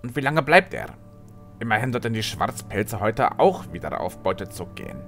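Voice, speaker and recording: A man's voice: mid-range voice, sing-song, moderate pace, a bit bright, soft background music. A man playing an impatient, scheming court alchemist with a questioning, insistent manner in a fantasy audio drama. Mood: calculating. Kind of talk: fan-made fantasy audio drama acting.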